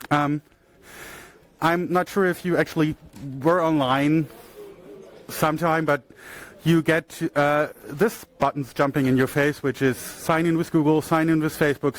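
A man's voice: fairly high pitch, normal speed. A man from Germany giving an earnest conference talk into a handheld microphone, phrased plainly with occasional slips. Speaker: conference speaker. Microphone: handheld microphone shared with co-speaker.

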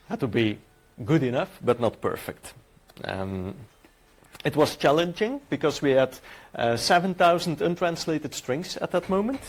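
A middle-aged man whose native language is Dutch, speaking English with a Dutch accent as he presents a technical conference talk, hesitant and full of filler sounds and restarts. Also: on the high side.

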